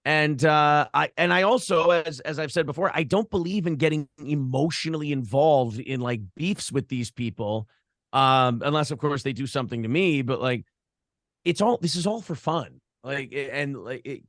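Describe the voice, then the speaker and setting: Man, slightly high voice, dry close sound. Blunt, opinionated podcast guest, casual and sweary. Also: normal speed, a bit bright, no room sound. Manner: blunt, profane. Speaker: podcast host being interviewed.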